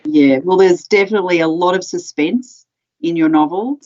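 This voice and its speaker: Interviewer in her forties, woman, lower voice, calm and measured, muffled video call sound. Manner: measured, reads out audience questions. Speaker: library interviewer. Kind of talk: hosting a live interview. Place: home room. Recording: slightly dull video call audio.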